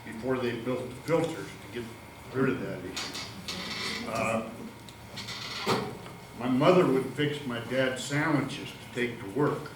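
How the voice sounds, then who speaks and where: This is an older man sharing a story in a folksy, informal way. His voice is loud, yet it comes from some way off in an echoey room. Clear background noise sits under it. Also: mid-range voice, unhurried, pauses often, far from the mic. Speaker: audience member sharing a memory. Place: community room at a public panel event.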